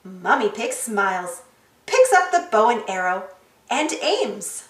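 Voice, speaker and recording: A woman reading a children's storybook aloud as an expressive narrator and host. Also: medium pitch, slow pace, reverberant, recorded from a distance. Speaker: storybook reader, channel host.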